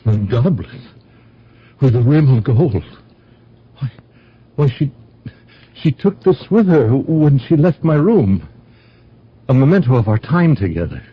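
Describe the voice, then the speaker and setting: A man playing an anguished, bewildered lover in a radio drama, halting and impassioned, with a husky voice. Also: mid-range voice, big pitch swings. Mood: distraught. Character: anguished, bewildered lovestruck man, well-born. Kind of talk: 1970s radio drama acting. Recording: dull old radio recording.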